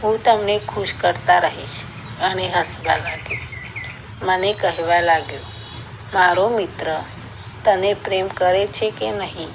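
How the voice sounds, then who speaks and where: A woman's voice: fairly low pitch, a little slow, noisy background. A woman reading a scripted first-person story as a narrator.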